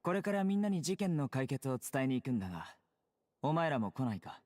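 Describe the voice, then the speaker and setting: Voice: medium pitch, sing-song, racing, a little mellow, in a large hall, natural voice. An actor voicing a curt, self-assured young male officer in an anime game, terse and matter-of-fact. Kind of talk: Japanese anime game voice acting.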